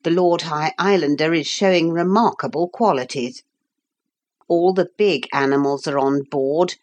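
A woman voicing a pompous, fussy old man who keeps an ark, speaking in a slow, formal, lecturing manner. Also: low-pitched. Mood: reassuring. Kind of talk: audiobook character voice.

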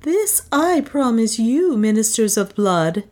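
A woman's voice: on the high side, sing-song, slow pace, darkish tone, pitched above the performer's own voice. A woman reader voicing a commanding young woman who poses as a goddess, declaiming in an imperious manner.

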